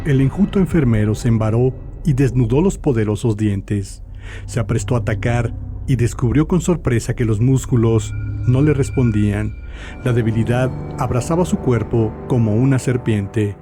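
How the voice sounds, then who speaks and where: A man reading scripted literary narration for a dark fantasy audio story. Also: mid-range voice, normal speed. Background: faint music.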